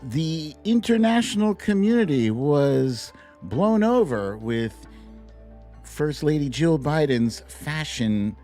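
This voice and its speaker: Male livestream host, playful and sing-song, highly varied pitch, unhurried pace, informal chat. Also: sing-song, a little mellow, soft background music. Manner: playful, exclamatory, sing-song with silly catchphrases. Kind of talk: casual livestream chat, greeting viewers.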